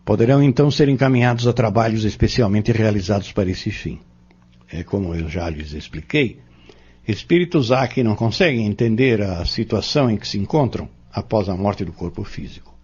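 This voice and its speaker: An actor playing a patient spiritist mentor in an audio drama, with a Brazilian accent and a formal, measured, preacherly delivery. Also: male voice, lowish voice, lively intonation, darkish tone, slightly rough. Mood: solemn, explanatory. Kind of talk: audio drama acting, didactic monologue. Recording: dull lo-fi audio.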